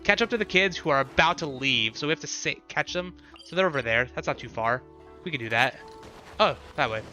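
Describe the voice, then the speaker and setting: A man's voice: on the high side, lively intonation, a little fast, pushed and bright, soft background music. A man chatting casually with viewers as he streams a game, repeating short phrases and thinking aloud.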